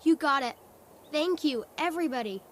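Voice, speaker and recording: An actress voicing an earnest, polite, kind young boy hero in an animated fantasy game. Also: sits high, moderate pace, a little hiss or hum. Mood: warm, grateful.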